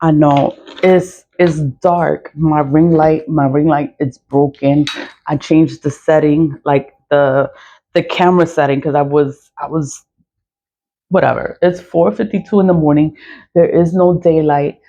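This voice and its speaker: A woman with a raspy voice, venting bluntly and profanely in an early-morning vlog, repeating herself as she goes. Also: deep voice, steady tone, a little slow, dark tone, highs cut off. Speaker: vlogger. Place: dark room before dawn.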